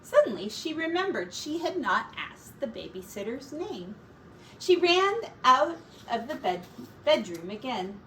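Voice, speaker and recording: A woman teacher reading a children's story aloud with expressive, deliberate dramatic emphasis. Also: a little high. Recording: distant mic with faint room noise.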